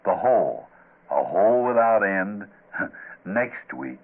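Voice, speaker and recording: A man's voice: sits low, big pitch swings, slow, heavy background noise. A man hosting old-time radio plays with wry, teasing, conversational narration. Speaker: host and writer of radio plays. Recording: slightly dull old radio recording.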